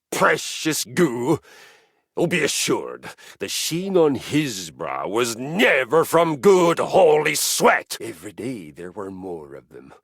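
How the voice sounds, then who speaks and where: A pompous, violence-loving exercise machine from a video game. The voice is gravelly and husky, the delivery slow and grand, swooping from hushed lows to booming highs. It sounds dry and close, recorded in a studio. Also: male voice, no room sound, heavily acted. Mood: conspiratorial. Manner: theatrical, pompous, swings from low murmurs to booming shouts. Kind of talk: video game character acting.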